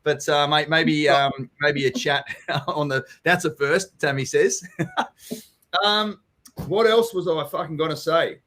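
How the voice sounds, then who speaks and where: Blokey Australian man, slightly high voice. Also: slow, fairly bright tone. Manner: blokey, informal, swears freely. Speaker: co-host of a property live Q&A, company general manager. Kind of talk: casual live chat, reading out viewer comments. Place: live online video stream.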